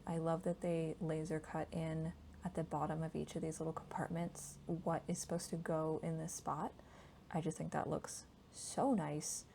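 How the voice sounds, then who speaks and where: A woman doing a casual, soft-spoken ASMR voiceover, hushed, gentle and informal, breathy at times. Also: low voice. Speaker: host of a board game ASMR channel. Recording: steady background noise from a laptop. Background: laptop fan noise.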